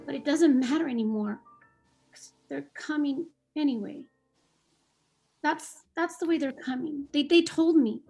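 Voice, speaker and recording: A woman's voice: high-pitched, a little slow, creaky, dark tone, faint music behind. A woman playing a hurt, stubborn young immigrant girl in a play table read, plaintive and insistent.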